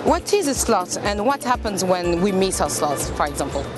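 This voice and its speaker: Lively-pitched woman reporter over music. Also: normal speed. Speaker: reporter and interviewer. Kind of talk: scripted presenting and interview questions. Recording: music clearly audible under voice.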